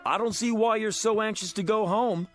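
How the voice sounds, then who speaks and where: An actor in a radio drama playing a brash, bitter working-class hustler who talks in combative, slangy wisecracks. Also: male voice, high-pitched, normal speed, faint music behind, close to an everyday voice.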